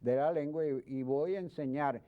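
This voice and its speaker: A man's voice: on the high side, moderate pace. Man, interview guest, expert explaining, repeats words, stop-start delivery, muffled lo-fi recording. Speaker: guest expert, history professor. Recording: dull lo-fi sound.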